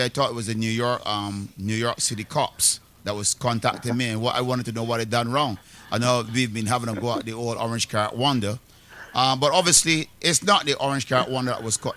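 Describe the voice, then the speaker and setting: Lively Barbadian radio host man. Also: mid-range voice. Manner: lively, rambling, chatty. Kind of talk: live radio interview.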